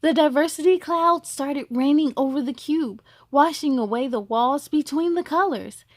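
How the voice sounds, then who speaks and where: A woman's voice: high voice, moderate pace, no echo, clean studio sound. A woman hosting a storytime, reading a children's book aloud expressively with chatty, encouraging asides.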